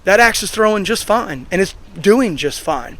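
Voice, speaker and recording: Hoarse guy kinda high voice rambling about his gear, lots of ums, chatty vlog host. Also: animated, normal speed, background noise. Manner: rambling, opinionated, frequent fillers. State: hoarse, recovering from being sick. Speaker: axe throwing channel host. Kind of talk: casual outdoor review vlog. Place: outdoors at a throwing range.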